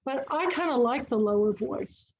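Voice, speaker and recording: A woman's voice: sits high, lively intonation, normal speed, darkish tone, muffled recording. A woman hosting an online book and film club, chatting eagerly and telling stories. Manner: chatty, enthusiastic storyteller. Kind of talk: casual book and film chat. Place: online video call.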